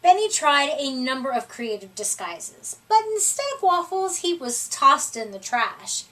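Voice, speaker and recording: A woman reads a children's story with a high, very lively voice and deliberate delivery. She takes her time, expressively shaping each phrase, and sounds set back from the microphone. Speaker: storybook reader. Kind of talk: read-aloud children's story narration. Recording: distant microphone.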